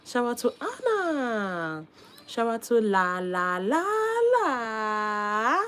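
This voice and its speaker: Slow, lively woman with a hoarse cold voice. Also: on the high side. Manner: upbeat, calls out viewer names with frequent laughs. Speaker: live stream host. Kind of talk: casual live stream chat.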